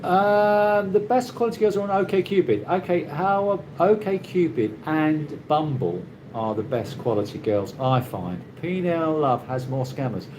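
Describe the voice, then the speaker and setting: Chatty British man, lively and rambling. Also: fairly high pitch. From Britain. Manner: rambling, halting, with many restarts. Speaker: livestream host reading viewer comments. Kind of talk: casual live chat. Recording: noisy ambient background.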